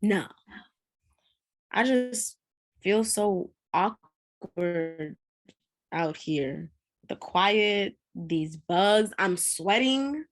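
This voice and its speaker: A woman's voice: medium pitch, animated, very slow, long pauses. A woman acting a bold, precocious fifteen-year-old girl with thuggish charm in a script read, complaining and slangy. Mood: reluctant, uneasy.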